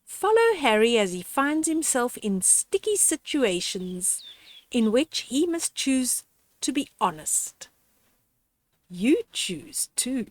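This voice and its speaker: An older woman reads a children's storybook aloud with very lively, swooping intonation. She takes her time, pausing deliberately and speaking straight to the young listener in a gently instructive way. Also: a little high, no room sound. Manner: expressive, with deliberate pauses and dramatic gasps.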